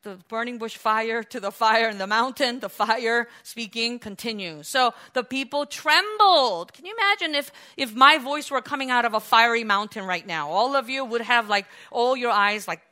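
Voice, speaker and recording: A woman preacher giving an animated, conversational sermon, full of restarts and asides. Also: on the high side, normal speed, few pauses.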